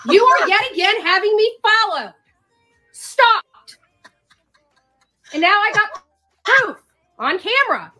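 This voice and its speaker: High, bright woman ranting, echoey room. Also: very animated, takes it slowly, long pauses, soft background music. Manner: emphatic, repetitive, confrontational. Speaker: online commentator. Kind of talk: unscripted rant and advice to camera. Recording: roomy echo with faint noise.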